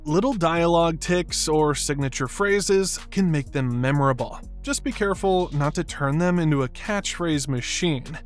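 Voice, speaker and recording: Slightly high-voiced man narrating a video essay. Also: normal speed, hardly any pauses, faint music behind. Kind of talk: scripted narration.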